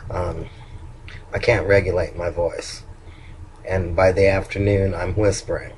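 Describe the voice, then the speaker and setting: An older man with a low voice that turns nasal at times and sounds hoarse, talking haltingly in a casual video update, with many ums and restarts. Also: flat delivery, a little mellow, a little hiss or hum, low-quality recording. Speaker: vlogger.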